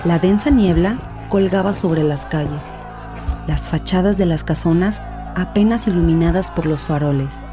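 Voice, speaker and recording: A woman's voice: lowish voice, moderate pace. A woman reading dramatic scripted audiobook narration. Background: background music.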